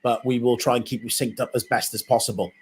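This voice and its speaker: A man with a Welsh accent co-hosting a live football watchalong, giving quick match remarks and teasing banter. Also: medium pitch, flat delivery, a little hiss or hum. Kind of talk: casual live match commentary and chat.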